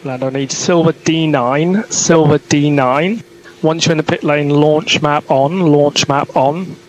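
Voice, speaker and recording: A man's voice: on the high side, fairly even intonation, a little slow. A man working as a race engineer on team radio, giving clipped instructions and repeating each one. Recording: noisy team radio, vehicle noise.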